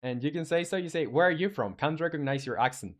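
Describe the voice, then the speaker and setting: A young man from Chile, a native Spanish speaker with a Chilean accent, chatting casually on a live game-dev stream and reading viewer comments with halting restarts and fillers. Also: fairly high pitch, lively intonation.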